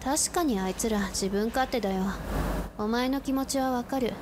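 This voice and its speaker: An actress playing a stern, tomboyish high-school girl in a radio drama, rough-spoken and direct. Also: normal speed, noisy background, close to an everyday voice. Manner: rough, masculine phrasing, direct. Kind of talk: Japanese anime-style radio drama acting.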